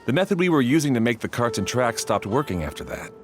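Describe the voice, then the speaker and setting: A man's voice: mid-range voice, big pitch swings, quick pace, music in the background, close to an everyday voice. A man voicing a laid-back, mildly snarky caretaker with a hesitant, slangy manner in a video game. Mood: casual. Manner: hesitant slangy drawl with filler words.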